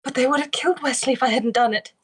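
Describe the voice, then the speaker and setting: A woman's voice: high-pitched, fast talker, fairly bright tone, slightly rough. A woman voicing an earnest, lovelorn young princess in an amateur table read, speaking in plain declarations. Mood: pleading.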